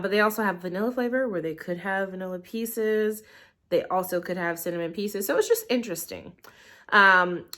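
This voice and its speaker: A woman's voice: mid-range voice, a bit bright. A congested young woman reviewing a tea in her living room, sounding a little nasal and talking casually with lots of ums. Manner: chatty, rambling, many ums. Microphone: camera in portrait mode.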